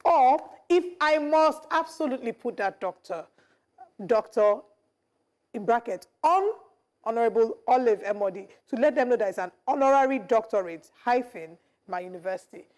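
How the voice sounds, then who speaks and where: A woman's voice: on the high side, expressive, moderate pace, darkish tone. A woman co-hosting a morning talk show, giving opinions emphatically and stressing her key words. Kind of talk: casual studio chat.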